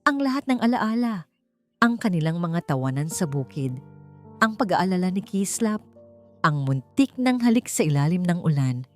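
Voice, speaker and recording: A woman's voice: fairly low pitch, big pitch swings, normal speed, faint music behind. A woman with a Filipino accent narrating a scripted story in an emotive, theatrical way, as an audiobook narrator.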